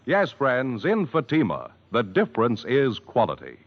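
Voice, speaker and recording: An American male commercial announcer with a medium-pitched voice that rises and falls dramatically. He sells in a polished, emphatic 1950s radio style, on a muffled vintage recording. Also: wildly swooping pitch, a little slow. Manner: emphatic, polished sales delivery. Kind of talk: 1950s radio cigarette advertisement.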